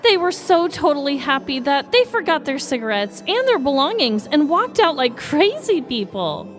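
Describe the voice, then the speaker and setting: Woman playing an animated, high-voiced store cashier. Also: normal speed. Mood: amazed, revealing. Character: store cashier, smirking, matter-of-fact bearer of big news. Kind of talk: acted dialogue in a podcast story. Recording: music behind the voice.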